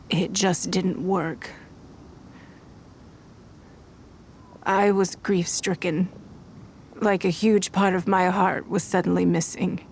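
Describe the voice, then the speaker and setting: A woman playing a composed, wealthy noblewoman leader in a video game, speaking in a measured, formal way with private grief underneath. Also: fairly low pitch, creaky, a little hiss or hum. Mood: grief-stricken, subdued. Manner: measured, formal, eloquent, poised. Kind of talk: scripted video game dialogue.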